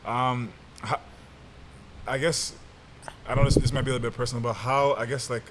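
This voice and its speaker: A male podcast co-host with a mid-range voice. He asks questions in a loose, halting way and uses plenty of slang. Faint noise sits in the background. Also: medium pitch, fairly even intonation, fairly bright tone, poor audio. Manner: easygoing, hesitant questions with slang. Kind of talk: casual interview chat.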